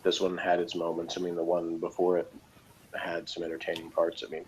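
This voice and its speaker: A man's voice: low voice, completely flat delivery, a little fast, rough recording. A man co-hosting a casual, opinionated episode review in a rambling, loosely phrased way, with faint noise behind him. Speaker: review show co-host.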